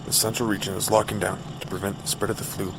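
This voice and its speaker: An actor playing a male federal checkpoint guard speaking in an official, flat manner. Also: fairly low pitch, quick pace, gravelly, noisy background.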